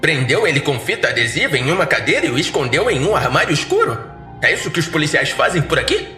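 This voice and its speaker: A man's voice: a little high, sing-song, fast, pushed and bright, reverberant, soft background music. A man voicing a suspicious shapeshifting demon who poses as a man, challenging everyone in an incredulous manner. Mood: indignant, challenging. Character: shapeshifting male demon posing as celebrity, indignant, suspicious.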